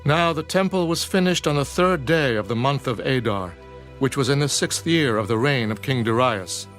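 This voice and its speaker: A man giving a measured, formal scripture narration in a dramatised audiobook. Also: medium pitch, a bit bright, soft background music.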